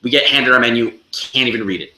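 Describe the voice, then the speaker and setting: Guy in a band telling a story, super bright sharp voice, stumbles over words, roomy echo. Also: medium pitch. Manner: halting delivery with repeated words and acted-out quotes. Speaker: band member being interviewed. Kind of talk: animated casual storytelling. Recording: echoey room.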